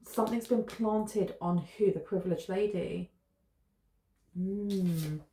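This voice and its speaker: British woman, tarot reader, casual and meandering, middle pitch, recorded in a roomy, echoey space. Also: takes it slowly, warm tone. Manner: musing, meandering, thinking aloud with fillers. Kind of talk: casual tarot reading to camera. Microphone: camera close in front. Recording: echoey room.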